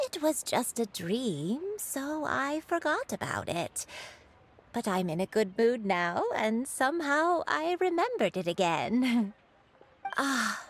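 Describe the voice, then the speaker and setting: A woman's voice: a little high, very animated, slight background noise, full character voice. A woman voicing a forgetful, whimsical grandmother who looks young, in a video game. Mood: dreamy. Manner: dreamy, slow and wandering.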